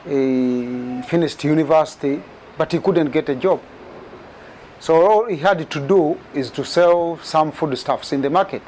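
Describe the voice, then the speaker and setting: A man in his forties gives a spoken testimony. His voice sits fairly high, and he leans on key details by repeating them and lifting his pitch. A faint background hum runs under his speech. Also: a little mellow. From Uganda. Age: 40s. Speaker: immigrant telling a story. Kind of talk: personal testimony.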